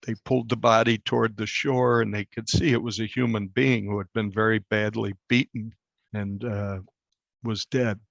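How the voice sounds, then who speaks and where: A man working as a reporter, telling a story conversationally in an interview in a measured, explanatory way. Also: fairly low pitch, little pitch movement, vocal fry, fairly bright tone, no echo, highs cut off. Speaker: newspaper reporter being interviewed.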